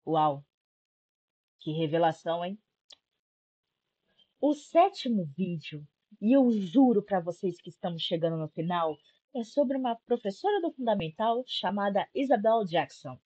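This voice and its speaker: A young Brazilian woman hosts a casual commentary video. Her voice is husky and swings widely in pitch as she talks in an informal, sarcastic way. The recording is a little dull and lo-fi. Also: big pitch swings, measured pace, long pauses, warm tone. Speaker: YouTube commentary host. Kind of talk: casual video-essay commentary recapping a horror series.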